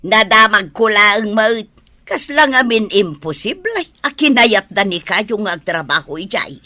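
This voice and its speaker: A woman's voice: mid-range voice, animated, unhurried, pushed and bright, no room sound. A woman acting as a firm, questioning lady of the house in a radio drama. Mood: probing.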